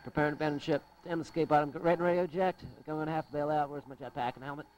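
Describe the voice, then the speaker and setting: A man's voice: a little high, steady tone, racing, darkish tone, muffled recording, slight background noise, close to an everyday voice. A man voicing a brash, grumbling space pilot in distress in an amateur sci-fi cartoon. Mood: urgent. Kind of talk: amateur animated sci-fi voice acting.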